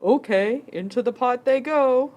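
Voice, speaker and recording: A woman's voice: a little high, measured pace, muffled recording, close to an everyday voice. A woman voicing curious, helpful village folk in a children's puppet show. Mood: eager, helpful.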